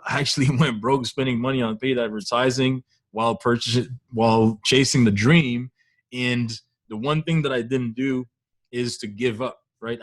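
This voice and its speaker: A man hosting a webinar tells his own story in a conversational way, often tagging sentences with a quick "right?". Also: medium pitch. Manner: conversational, keeps adding "right?". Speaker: webinar host and online entrepreneur.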